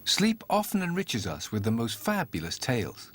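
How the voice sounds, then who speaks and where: A man's voice: medium pitch, huge pitch swings, moderate pace, fairly bright tone, a little hiss or hum. A man telling a fairy tale as a scripted, theatrical storybook narration.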